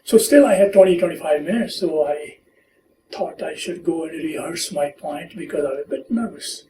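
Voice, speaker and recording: A man in his seventies with a Pakistani accent, telling stories from his life in an interview with gentle humor. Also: on the high side, lively intonation. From born in India, raised in Pakistan. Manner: unhurried, gently humorous storyteller who acts out quoted lines. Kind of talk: oral history storytelling. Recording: echoey room, far from mic, faint hiss.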